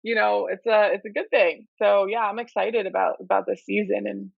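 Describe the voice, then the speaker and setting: Gravelly co-host from upstate New York. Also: male voice, high voice, fairly even intonation, moderate pace, dry recording, muffled recording. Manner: chatty, laughs often. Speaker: podcast co-host. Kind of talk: casual podcast chat.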